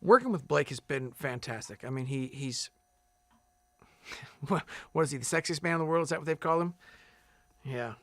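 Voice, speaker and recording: Relaxed man with a dry close sound. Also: on the high side, moderate pace, pauses often, no echo. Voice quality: big loud singing voice. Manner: relaxed, rambling, many fillers and restarts. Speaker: singing contestant. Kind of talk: casual interview answers.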